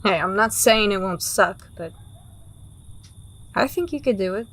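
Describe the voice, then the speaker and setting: A woman's voice: mid-range voice, animated, measured pace, fairly bright tone, a little hiss or hum. Woman playing a jaded fantasy underworld woman, blunt n wry, teases a lot, audio drama style. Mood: frank, knowing. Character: world-weary, teasing, pragmatic woman working for a crime boss.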